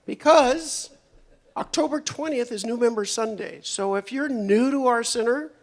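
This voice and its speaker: A hoarse man giving upbeat, chatty membership announcements at a church service. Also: sits high. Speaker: membership speaker at a spiritual center.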